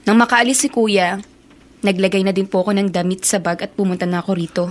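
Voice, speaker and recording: A woman's voice: medium pitch, fairly even intonation, quick pace, lightly acted. Woman playing a resentful young Filipino daughter. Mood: troubled. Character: resentful young daughter confiding in her grandmother. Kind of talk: Filipino radio drama acting.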